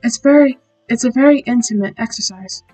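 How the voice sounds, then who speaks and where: A woman voicing a shy, bookish teenage girl, reading softly with small slips. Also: fairly high pitch, soft background music, close to an everyday voice, low-quality recording. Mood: timid. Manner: soft, halting reading with slips. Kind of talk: reading visual novel lines aloud.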